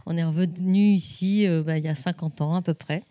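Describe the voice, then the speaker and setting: Calm female painter with a Parisian accent, low and mellow. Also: brisk, no echo. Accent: Parisian French. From Spain. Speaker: guest painter. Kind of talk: casual chat.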